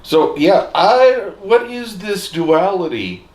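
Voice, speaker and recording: A man's voice: high voice, sing-song, slow pace, darkish tone, far from the mic. A man co-hosting a fan discussion show with playful, teasing, theatrical banter. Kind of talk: casual joking banter. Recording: echoey room, off the mic.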